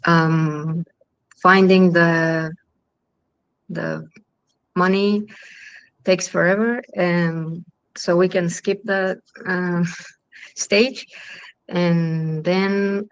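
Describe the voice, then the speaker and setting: A woman filmmaker from Chile with a Chilean Spanish accent, speaking English in a halting way full of ums and restarts. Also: lowish voice, fairly even intonation, clean tone, no room sound, poor audio. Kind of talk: festival Q&A talk.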